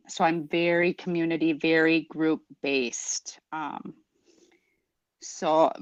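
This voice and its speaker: A woman introducing herself as a new school board member, talking in a rambling, halting, earnest way. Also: low-pitched, dry recording, dull audio. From Prairie Island Indian community, Minnesota. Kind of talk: informal self-introduction at a meeting.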